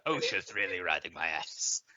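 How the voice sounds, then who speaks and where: A man's voice: low voice, lively intonation, moderate pace, bright, forceful tone, highs cut off, heavily acted. A man playing a weary, exasperated workplace safety supervisor in a comedy bit.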